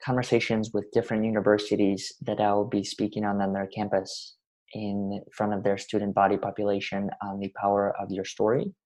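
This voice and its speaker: Low, flat-voiced young man from Russia. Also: poor audio. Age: 20s. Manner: earnest, measured, speaks in long winding sentences. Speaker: podcast guest. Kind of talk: reflective interview talk.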